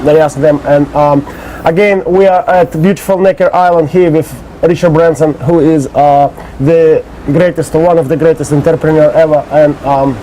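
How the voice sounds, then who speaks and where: A Russian-accented man with a warm, mellow, somewhat high voice asks questions in halting English. He sounds nervous and laughs between his lines. Faint outdoor noise sits behind him. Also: steady tone, rough recording. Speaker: interviewer, host. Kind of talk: casual interview in second-language English. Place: outdoors on an island.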